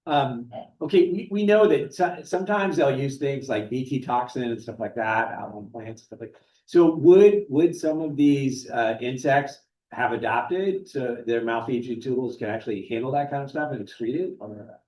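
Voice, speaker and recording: A man's voice: medium pitch, normal speed, darkish tone. A man in the audience asking a casual question, hesitant and restarting. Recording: roomy hall.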